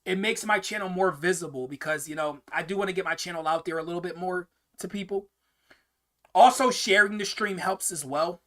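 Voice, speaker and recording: A man's voice: high voice, a little fast, pushed and bright. A young man hosting a casual live-stream Q&A, answering viewer questions in a rambling way, often repeating words and restarting sentences.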